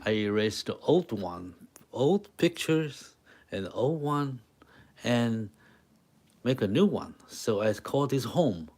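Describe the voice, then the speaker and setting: Slow-talking man with a Taiwanese accent. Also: mid-range voice, expressive, a little mellow. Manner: halting, repeats short phrases, talks to viewers. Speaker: live-stream drawing artist and host. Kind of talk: casual live-stream chat with drawing demonstration.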